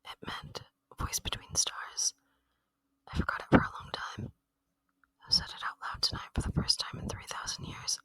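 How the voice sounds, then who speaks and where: An ASMR performer voicing a gentle, lonely ancient alien in a breathy whisper, speaking tenderly and reflectively in a roleplay. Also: normal speed, dry recording, natural voice, rough recording. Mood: solemn, confiding.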